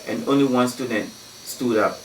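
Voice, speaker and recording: A man from Ghana talking as a guest on a radio show, telling long stories full of "you know". His voice is at a middle pitch. It sounds roomy and off the mic, with a faint hiss behind it. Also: fairly even intonation, normal speed, far from the mic. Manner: talkative, many "you know" fillers, laughs often. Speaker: guest, community activist. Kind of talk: radio interview storytelling. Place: radio studio.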